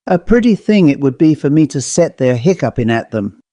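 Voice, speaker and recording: A man's voice: a little high, very animated, a little fast, dark tone, no echo. A man voicing a grumpy, indignant, self-important owl in a story, complaining in rustic, ungrammatical speech. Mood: huffy. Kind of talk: storybook character voice.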